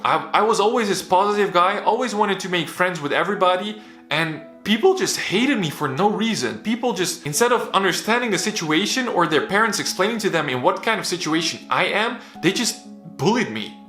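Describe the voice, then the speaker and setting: A man's voice: sits high, pushed and bright. A young man raised in the Netherlands with Bosnian as his first language, earnestly telling his own story in a candid, casual vlog. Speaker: vlogger. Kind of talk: personal motivational story. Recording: roomy echo.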